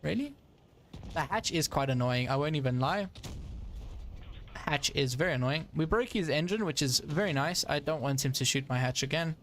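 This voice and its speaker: A man's voice: fairly high pitch, expressive, normal speed, fairly bright tone, a little hiss or hum. A young man giving casual live commentary while playing a tank game, chatty with frequent fillers and frustrated asides. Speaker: gaming streamer.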